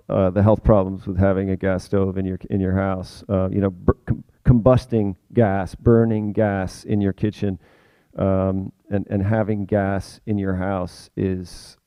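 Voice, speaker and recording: Host, man, raspy, low, halting, dry lo-fi sound. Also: dry recording. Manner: halting, many fillers. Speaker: radio host. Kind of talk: conversational interview.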